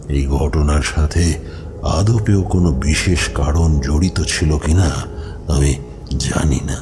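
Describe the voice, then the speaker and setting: A grave old-tale mystic acted by a man recounts eerie events in a horror audio story. The voice is extremely deep and creaky, with deliberate pauses. Clear noise and ambience sit behind it. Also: heavily acted. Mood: haunted, brooding. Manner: ominous, deliberate, with dramatic pauses. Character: grave tantric mystic recounting his own eerie past. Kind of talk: slow dramatic horror audio-story narration.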